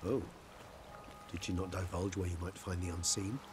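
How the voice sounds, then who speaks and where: Refined, loyal, scholarly vampire, male actor, British, deep and courteous, game cutscene with clear ambient noise. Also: fairly even intonation, moderate pace, natural voice. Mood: concerned, polite. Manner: erudite, courteous, wordy. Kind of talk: video game acting.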